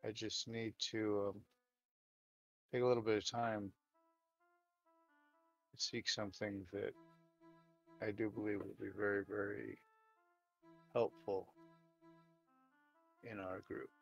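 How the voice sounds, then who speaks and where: A man playing a weary, devout, folksy dwarf warrior in an improvised audio drama, rambling and plain-spoken in a halting way. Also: fairly low pitch, level tone, gravelly. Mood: earnest, resolved. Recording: dull lo-fi audio, music behind.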